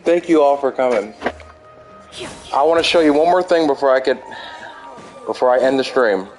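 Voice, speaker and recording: A man hosting a video game livestream in a casual, chatty style. His voice is medium in pitch, and faint music plays behind him. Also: animated, moderate pace, darkish tone. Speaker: game streamer.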